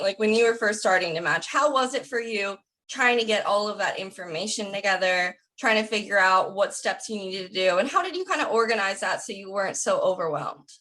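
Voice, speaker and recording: Woman host, upbeat, chatty, fast, bright tone. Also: mid-range voice, low-quality recording. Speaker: host, communications director. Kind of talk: casual interview hosting.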